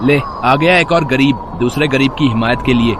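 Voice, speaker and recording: A man's voice: mid-range voice, normal speed, background noise, lightly acted. Man playing a sneering rich young college bully. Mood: angry, contemptuous. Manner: taunting, contemptuous. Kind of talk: Hindi cartoon story dialogue.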